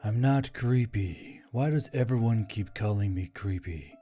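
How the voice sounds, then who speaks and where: A man's voice: lowish voice, measured pace, dark tone, faint music behind, lightly acted. A man voicing an ancient, proud spirit that lives in a magic necklace, speaking in a formal, ominous way in an audio story. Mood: indignant.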